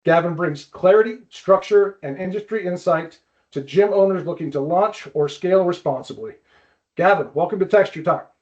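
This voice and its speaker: A man hosting a podcast in a casual interview style, with a halting delivery that often repeats words. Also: high-pitched, a little mellow, highs cut off. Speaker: podcast host.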